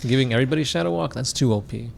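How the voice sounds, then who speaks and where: A man co-hosting a casual podcast about a tabletop war game, answering fan questions in a relaxed way, full of "like" fillers and quick laughs. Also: mid-range voice, gravelly, slight background noise. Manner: laid-back, many "like" fillers, laughs often. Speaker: podcast co-host.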